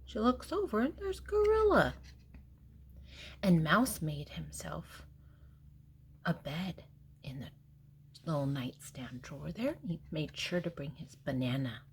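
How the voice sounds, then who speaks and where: An older woman reads a picture book to small children at a very leisurely pace. Her voice is husky and a bit low. She explains things gently and simply, leaving pauses as she goes. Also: big pitch swings. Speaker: storybook reader and host. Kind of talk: reading a picture book aloud to young children.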